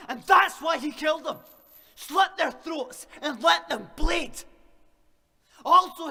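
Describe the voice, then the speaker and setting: An actor in a radio drama playing an anguished, desperate male prisoner, haunted, halting and pleading. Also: very high voice, vocal fry, pushed and bright, heavily acted. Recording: long echoing reverb, faint noise.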